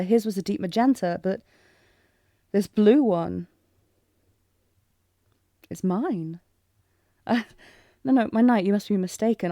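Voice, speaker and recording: A woman acts out a refined young princess from a romantic fantasy audio drama. Her voice sits at a middle pitch, close and free of room sound. She speaks in earnest, theatrical phrases with old-fashioned courtly politeness, easily flustered. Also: warm tone, dry recording, close to an everyday voice. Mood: puzzled, wary. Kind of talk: romantic audio roleplay spoken to the listener.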